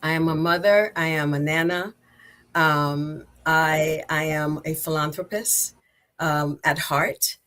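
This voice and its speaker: Low-voiced middle-aged Haitian-accented woman. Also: steady tone, slow pace, fairly bright tone, clean tone. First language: Haitian Creole. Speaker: guest, nonprofit executive director. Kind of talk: conversational interview answers. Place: remote video call.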